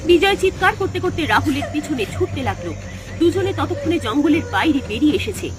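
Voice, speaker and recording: A woman's voice: high-pitched, big pitch swings, brisk, over music. A woman narrator reading a scripted horror story in a dramatic style.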